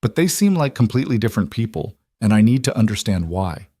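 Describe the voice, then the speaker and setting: An intense mafia boss with a lowish voice, played by a male narrator, recorded close and dry. He speaks directly and earnestly, probing yet awkward with people, in a romance audiobook manner. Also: big pitch swings, fast, warm tone, breathy edge, dry recording.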